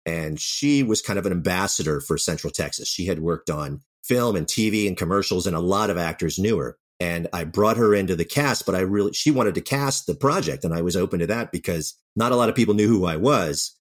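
A man with a deep voice talks through his experiences as an interview guest. He is candid and self-deprecating, speaking conversationally. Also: brisk, hardly any pauses. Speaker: writer and audio drama director, interview guest.

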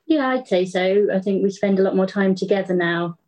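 A woman's voice: medium pitch, brisk, a little mellow. A woman answering casually as an interview guest who co-writes an audio drama.

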